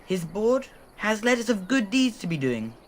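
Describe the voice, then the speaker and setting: Eager, high-voiced rustic villager. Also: male voice, very animated, moderate pace, a little hiss or hum. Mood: helpful, chatty. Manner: folksy, plain-spoken, repeats himself. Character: chatty, helpful rustic villager, simple and eager. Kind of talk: video game character dialogue.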